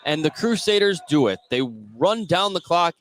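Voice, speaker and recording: A man's voice: sits high, lively intonation, moderate pace, fairly bright tone, slight background noise. An American man doing live radio play-by-play commentary of a basketball game as it unfolds. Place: indoor basketball gym during a game.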